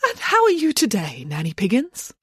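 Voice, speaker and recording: A fussy, scheming nanny from a storybook, put on by a woman reader. Her voice sits high and swings about, prim and critical under a layer of false sweetness. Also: huge pitch swings, normal speed, husky, dry recording, clean studio sound, full character voice. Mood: falsely sweet. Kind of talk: children's storybook villain voice.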